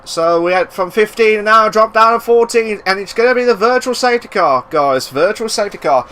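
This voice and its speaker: Excitable high-voiced male race commentator. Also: fairly quick, a little hiss or hum. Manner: excitable, bursts into loud exclamations. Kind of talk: live esports racing commentary.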